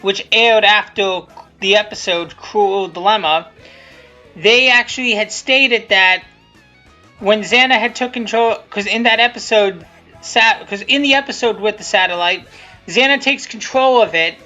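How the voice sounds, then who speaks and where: A woman giving casual, unscripted video commentary, rambling and haltingly restarting her sentences as she goes. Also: mid-range voice, pushed and bright. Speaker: creator of a fan abridged series giving commentary. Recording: dull lo-fi sound with music underneath. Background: music from the video being commented on.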